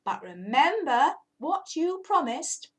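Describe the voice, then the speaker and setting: A woman voicing a cross, stern male rabbit who talks in a brisk, emphatic way. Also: high-pitched, big pitch swings, voice pushed up, heavily acted.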